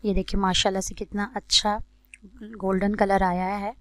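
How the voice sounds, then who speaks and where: A woman with a Pakistani accent narrates a recipe tutorial as a cooking host, in a measured, instructional way. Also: medium pitch, level tone.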